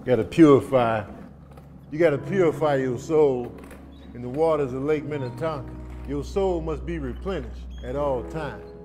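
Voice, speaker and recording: A man's voice: medium pitch, normal speed, mellow, natural voice. An actor playing an eccentric male office janitor in a comedy sketch, solemnly proclaiming nonsensical proverbs. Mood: grandiose. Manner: solemn, pompous, proclaiming advice. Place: open-plan office and restroom, indoors. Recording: hall reverb, music behind.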